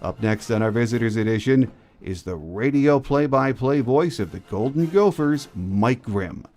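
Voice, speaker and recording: A man's voice: mid-range voice, lively intonation, moderate pace, a little mellow. A man hosting a sports podcast, presenting his views and introducing an interview. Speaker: podcast host.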